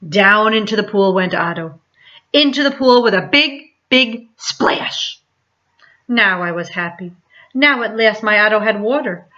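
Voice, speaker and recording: A woman's voice: medium pitch, lively intonation, a little slow, pushed and bright. A woman reading a children's story aloud in a dramatic, emphatic storytelling manner, like a teacher to a class. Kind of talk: expressive picture-book read-aloud. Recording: dull lo-fi sound.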